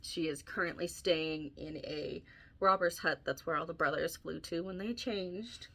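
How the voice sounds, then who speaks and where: A woman's voice: low-pitched, unhurried, a bit bright. A woman hosting a podcast casually retells a fairy tale in an informal way, adding wry asides as she goes. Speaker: podcast host and author.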